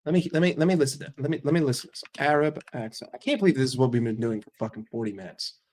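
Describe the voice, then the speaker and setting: A man's voice: mid-range voice, lively intonation, racing, darkish tone. A young man streaming, chatting casually with his viewers, joking and swearing freely. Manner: profane, joking, reacting to chat. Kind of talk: casual livestream chat.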